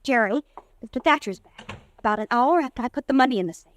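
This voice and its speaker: A woman's voice: a little high, animated, quick pace, natural voice. An actress playing a worried young girlfriend, urgent and anxious, in 1940s radio drama style.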